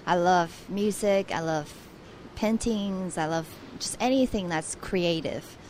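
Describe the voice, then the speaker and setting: A woman's voice: on the low side, slow, a little mellow, a little hiss or hum. A young adult woman from Taiwan, a guest on a radio interview, speaking earnestly with frequent restarts.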